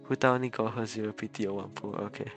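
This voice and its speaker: Low-voiced man chatting on a game stream. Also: fairly even intonation, quick pace, fairly bright tone, faint music behind. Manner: stammering, repeats words and phrases; chuckles often between remarks. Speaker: game livestreamer. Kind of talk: casual livestream chat with viewers.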